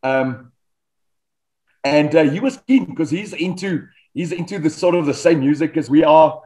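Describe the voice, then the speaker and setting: A man's voice: a little high, normal speed, rough recording. A South African man in his thirties, whose first language is Afrikaans, tells stories about his band at an easy pace. He often stops with "um" and restarts his sentences. His voice comes through a desk microphone on a video call. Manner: relaxed storytelling, frequent ums and restarts. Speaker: metal band vocalist, guest. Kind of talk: casual video-call interview. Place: home studio with band posters.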